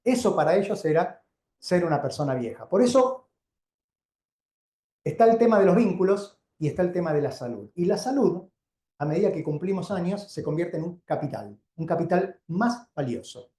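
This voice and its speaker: A man's voice: sits high, sing-song, moderate pace, dark tone. A man with an Argentine accent giving an informal, conversational lecture to a live audience, teaching and often checking in with questions. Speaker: doctor giving a keynote lecture. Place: indoor lecture venue before an audience. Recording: roomy, echoing live lecture sound.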